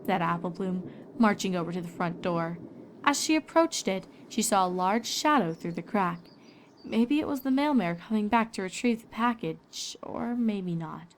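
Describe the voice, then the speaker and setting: A woman's voice: medium pitch, expressive, moderate pace, a little hiss or hum, rough recording. A woman reading scripted story narration as a storyteller and voice actor.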